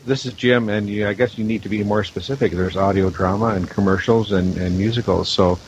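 A man with a fairly low voice talks casually as a panel guest. The recording is dull and lo-fi, with faint hiss behind him. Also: fairly even intonation, brisk. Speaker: audio drama producer and director, panel guest. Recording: dull lo-fi audio with clear hiss.

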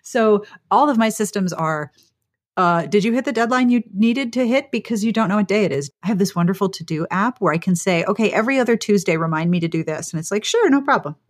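A woman's voice: medium pitch, expressive, fast talker. A woman hosting a casual interview podcast, chatting in a self-deprecating, jokey way and acting out little exchanges with herself, recorded close on a microphone. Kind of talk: casual conversational interview chat. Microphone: close microphone inside a foam-lined box.

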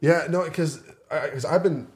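A man's voice: a little high, moderate pace. A man talking earnestly in a casual podcast discussion, often repeating phrases for emphasis. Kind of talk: casual conversation.

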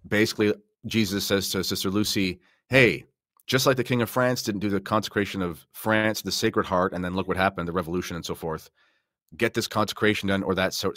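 A man's voice: low-pitched, fairly quick, a bit bright, no echo, studio quality. A man talking casually and emphatically as he explains religious material on a podcast. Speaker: podcast guest discussing religion. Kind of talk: casual explanatory talk with slides and notes.

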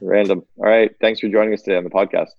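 A man in his fifties hosting a podcast interview, upbeat and talkative, quick to agree with his guest. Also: lowish voice, level tone, vocal fry, dry recording, highs cut off. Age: 50s. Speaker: podcast host. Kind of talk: casual interview chat.

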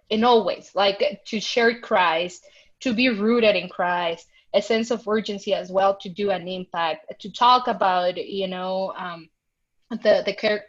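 A woman from Mexico with a Mexican accent, a podcast guest earnestly recounting a personal experience over a video call. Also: medium pitch. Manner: earnest, thoughtful, recounts with emphasis. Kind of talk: reflective personal storytelling. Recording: muffled lo-fi video call audio.

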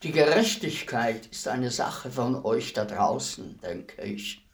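Slow, brooding old executioner. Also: male voice, medium pitch, expressive. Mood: brooding, resigned. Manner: deliberate, ruminative, with heavy pauses. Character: weary old male executioner, fifty years imprisoned, brooding, humble. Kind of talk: radio play monologue.

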